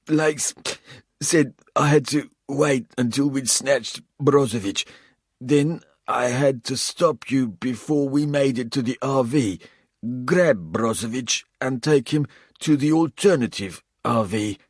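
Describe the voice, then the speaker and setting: A man voicing a wounded, defiant South African male traitor, pushing his voice higher and spitting the words out. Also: takes it slowly, breathy edge, dry recording, studio quality. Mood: desperate.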